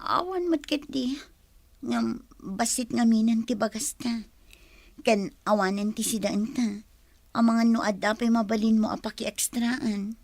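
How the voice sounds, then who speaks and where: A woman acts out a remorseful young Filipino mother in a radio drama. Her voice is very high, with a pleading, humble manner. The sound is dry and close. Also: measured pace, a little mellow, dry recording. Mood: worried, subdued. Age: young adult. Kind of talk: Ilocano radio drama acting.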